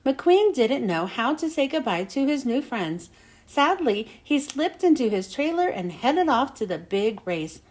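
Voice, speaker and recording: A woman reading a children's storybook aloud in an expressive way, with dramatic storytelling emphasis. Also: high voice, a little slow. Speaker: children's book reader.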